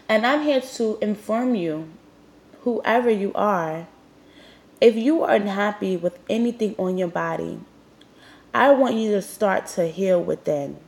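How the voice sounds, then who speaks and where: Brief: young woman host, nasal and sniffling with a cold, blunt and emphatic, speaking straight to the listener. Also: mid-range voice. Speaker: podcast host. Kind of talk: candid motivational monologue.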